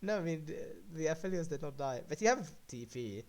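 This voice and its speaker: A man coaching a player through a video game replay in casual chat, bursting into loud excited yelps. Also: a little high, brisk, a crowd in the background. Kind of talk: casual coaching chat over gameplay review.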